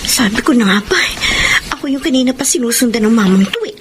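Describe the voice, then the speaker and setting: A woman's voice: a little high, animated, fast, background noise, lightly acted. A woman playing a feisty, distressed young daughter in a Filipino radio drama, respectful to her parents but emphatic and defiant.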